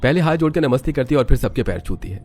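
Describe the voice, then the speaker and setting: A man's voice: medium pitch, animated, very fast, a little mellow, breathy edge, faint music behind. A man narrating a scripted family story as a third-person storyteller.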